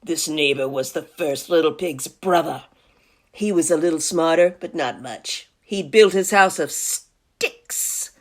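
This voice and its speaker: A woman's voice: low voice, unhurried. A woman voicing a folksy, indignant male wolf who tells his side of a storybook tale with mock innocence. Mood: self-excusing.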